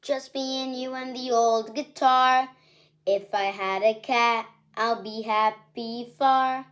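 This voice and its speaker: A woman voicing an old man, a kindly street busker, singing softly and higher than her own voice. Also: fairly even intonation, slow, full character voice. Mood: wistful.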